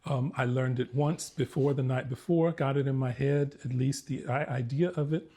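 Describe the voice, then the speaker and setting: An American man recounts his past in a relaxed conversation, his voice a bit husky and breathy. He tells his stories vividly, with restarts and a lot of laughter. His pitch sits in the middle of the male range. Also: normal speed. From United States. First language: English. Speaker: guest, former actor. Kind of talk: casual storytelling interview.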